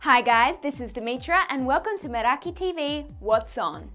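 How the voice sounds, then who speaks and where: A woman's voice: high voice, expressive, moderate pace, music in the background. A woman presenting an events roundup with upbeat, promotional announcements. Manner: chirpy, promotional.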